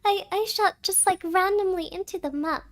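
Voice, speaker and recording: Casting: female virtual streamer, tiny sky-high voice, cute and playful, casual co-stream banter with Japanese interjections. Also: moderate pace. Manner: cutesy, peppers talk with Japanese interjections.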